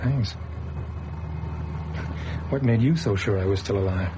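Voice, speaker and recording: A man's voice: on the low side, a little slow, close to an everyday voice. A man playing a shaken, uneasy returnee. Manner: anxious, unsettled, earnest. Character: shaken man back from long hospital stay. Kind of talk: radio drama acting. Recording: old radio play with loud car noise.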